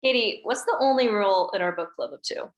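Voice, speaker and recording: A woman reading a grieving teenage girl at a table read, soft and subdued. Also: medium pitch, expressive, brisk, echoey room, lo-fi sound, close to an everyday voice. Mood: wry.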